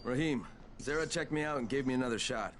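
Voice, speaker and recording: Guy playing a casual newcomer hero in a game, medium pitch, plain talk, noisy behind. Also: brisk, fairly bright tone, natural voice. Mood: willing, questioning. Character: plain-spoken, willing male newcomer runner. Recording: clear background noise.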